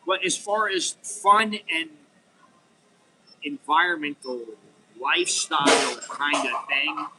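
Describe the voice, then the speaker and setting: A man's voice: a little high, steady tone, takes it slowly, very noisy. A middle-aged American man talking frankly in a rambling, unscripted monologue, full of restarts and "you know.". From United States. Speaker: vlogger.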